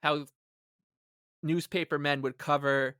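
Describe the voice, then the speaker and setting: A man co-hosting a casual sports podcast, rambling along in an animated, emphatic way. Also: on the high side, takes it slowly, clear, resonant tone, no room sound, studio quality. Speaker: podcast co-host.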